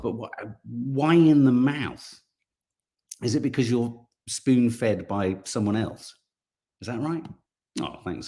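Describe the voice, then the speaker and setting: A man's voice: lowish voice, a little mellow. A man hosting a casual live stream, chatting and reading viewer comments aloud, sometimes tripping over his words. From English Midlands.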